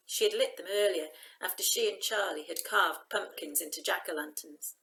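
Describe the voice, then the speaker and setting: Bright-voiced woman reading a story aloud. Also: fairly low pitch, normal speed, gravelly, poor audio. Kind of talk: expressive storybook narration.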